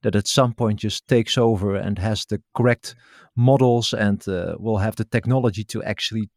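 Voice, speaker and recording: A man with a Dutch accent, a native Dutch speaker, hosting a casual chat in a thoughtful, hesitant way with many "uh" pauses. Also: lowish voice, fairly even intonation, a little mellow, no room sound, clean studio sound. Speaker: podcast host. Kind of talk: casual conversation.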